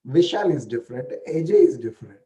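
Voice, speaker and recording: A man's voice: mid-range voice, animated, quick pace, warm tone, lo-fi sound. A man with an Indian accent who speaks an Indian language natively, telling stories in a casual talk and often checking in with "right?" and "you know".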